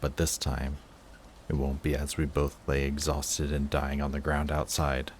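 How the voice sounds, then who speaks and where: A man playing a guarded villain in love with a rival, in an intimate audio roleplay with halting, emotional delivery. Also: a bass voice, completely flat delivery, a little hiss or hum. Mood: soft, affectionate. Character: lovestruck male villain, guarded, flustered, confessing love to rival.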